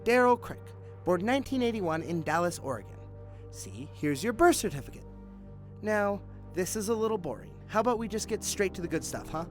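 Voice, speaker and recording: An actor playing a sarcastic detective who taunts a suspect in a mocking, drawn-out way. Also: male voice, high-pitched, big pitch swings, faint music behind. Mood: mock friendly.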